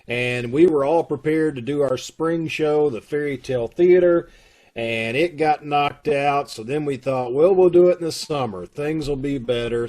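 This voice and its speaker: A man at an ordinary mid pitch talks over a video call, giving announcements for his theater troupe. His manner is plainspoken and folksy, laying things out step by step. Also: a little mellow. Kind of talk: casual announcements.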